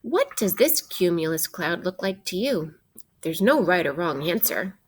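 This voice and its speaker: Slow-paced woman reading aloud to children. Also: lowish voice, very animated, slight background noise. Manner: deliberate, questioning, addresses young listeners directly. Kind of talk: interactive children's read-aloud of a science book.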